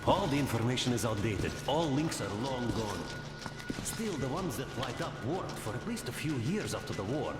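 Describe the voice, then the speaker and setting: An actor playing an eager young technician in a video game, explaining technical details in a matter-of-fact way. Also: male voice, medium pitch, big pitch swings, moderate pace, roomy sound, big-room sound, close to an everyday voice. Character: eager young male technician, quick and practical. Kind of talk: video game cutscene acting. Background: loud game score music.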